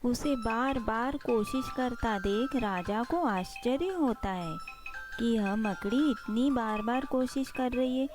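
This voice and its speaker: A woman's voice: on the high side, slow pace, over music. A woman narrating a scripted children's story as the storyteller.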